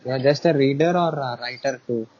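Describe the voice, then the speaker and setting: A man's voice: mid-range voice, brisk, darkish tone. A young man from India hosting an interview over a video call from outdoors, asking short, simple questions and often repeating them. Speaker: host. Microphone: earphone headset on video call. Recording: muffled call audio with faint noise.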